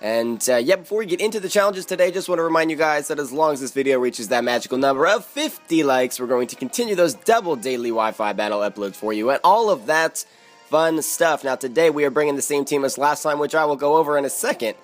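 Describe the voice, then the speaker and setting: Casting: hoarse Philly-young man, chatty gaming commentary, faint music bed. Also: fairly high pitch, expressive, fast talker. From Philadelphia, USA. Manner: chatty, strategizing aloud, frequent fillers. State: hoarse, raspy from yelling. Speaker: gaming YouTuber. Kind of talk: live game commentary.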